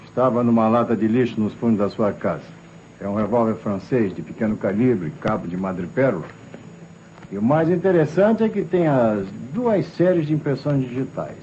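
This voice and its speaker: An actor playing a respectful, matter-of-fact Brazilian police officer reporting evidence precisely in an old radio drama. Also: male voice, medium pitch, lively intonation, moderate pace, dark tone, lightly acted. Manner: deferential, precise. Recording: dull, slightly noisy vintage radio recording.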